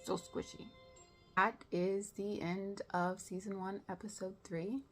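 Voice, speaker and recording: A woman giving casual reaction commentary as a show host, expressive and playfully dramatic, with a self-deprecating way of talking. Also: medium pitch, a little slow, faint music behind.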